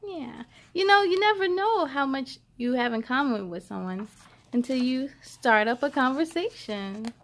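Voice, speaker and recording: A woman hosts story time for children in a high voice with very animated pitch. She is expressive and chatty, turning to her young listeners with questions and asides. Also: a little slow.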